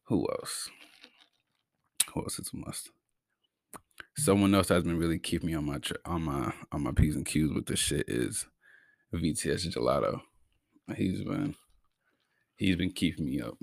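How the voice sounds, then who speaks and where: A man's voice: extremely low pitch, vocal fry, dry recording, clean studio sound. A young man from Massachusetts hosting his own podcast in a casual, slangy way, with an occasional stutter. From Brockton, Massachusetts. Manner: slangy, laid-back, stutters at times. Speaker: podcast host. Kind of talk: casual solo podcast talk.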